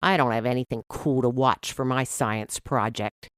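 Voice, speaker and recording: A woman's voice: very deep voice, normal speed, no room sound, clean studio sound, voice pushed down. A woman voicing a young schoolboy, glum but curious and eager, in a storybook reading.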